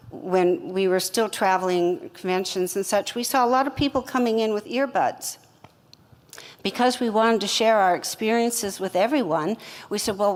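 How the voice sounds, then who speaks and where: An older woman, a company co-founder, telling her business story in an interview at a measured pace with many fillers. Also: medium pitch, long reverb tail. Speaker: co-founder guest.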